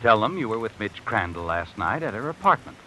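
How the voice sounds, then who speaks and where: An actor playing an eerie, all-knowing narrator in a 1950s radio suspense drama, telling the story ominously in the second person. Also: male voice, fairly low pitch, sing-song, fairly quick, bright, forceful tone, slight background noise, exaggerated voice, low-quality recording. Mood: ominous, insinuating. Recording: vintage radio recording, rain and music behind.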